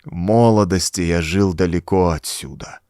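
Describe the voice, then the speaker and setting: A man's voice: sits low, moderate pace, dark tone, no echo, studio quality, pitched below the performer's own voice, lightly acted. A man voicing a dying old former cultist, groaning out a desperate, laboured confession for a horror audiobook. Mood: grim, confessing. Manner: groaning, laboured, dramatic delivery. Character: dying old male renegade cultist confessing in dread and agony. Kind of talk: acted audiobook dialogue.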